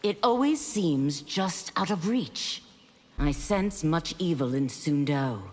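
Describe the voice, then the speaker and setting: A solemn demon seeking redemption in a fighting game. Her low voice speaks formally and earnestly. A long reverb trails each phrase. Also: expressive, slow pace, darkish tone, full character voice. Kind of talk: scripted fighting game dialogue.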